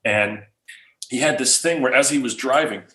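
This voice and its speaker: A man, a guest speaker, answering questions casually in an animated way, restarting often. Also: medium pitch, normal speed. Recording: roomy echo.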